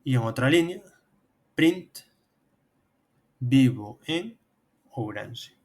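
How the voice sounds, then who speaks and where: A man's voice: mid-range voice, expressive, extremely slow, darkish tone. Man with a Spain Spanish accent teaching. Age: 30s. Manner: instructional, step by step. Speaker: programming instructor. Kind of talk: tutorial narration over screen demonstration.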